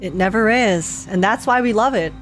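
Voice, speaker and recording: A young woman streamer giving casual, slangy live reaction commentary on a game, expressive and emotionally invested. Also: mid-range voice, moderate pace. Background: game music.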